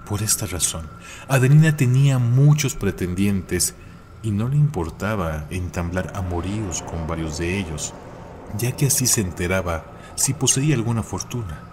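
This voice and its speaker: A man with a deep voice narrates a scripted legend as a storyteller. His phrasing is solemn and deliberate, with dramatic emphasis on key words. Clear ambient noise runs behind the voice. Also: lively intonation. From Mexico.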